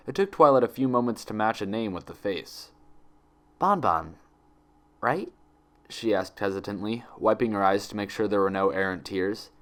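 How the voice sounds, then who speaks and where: A man reading a story aloud as its narrator, in scripted prose. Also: on the low side. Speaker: fan fiction reader, narrator.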